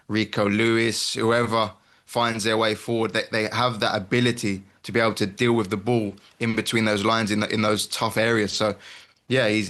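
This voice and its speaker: Even-toned, lowish male football pundit. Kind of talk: analytical sports discussion.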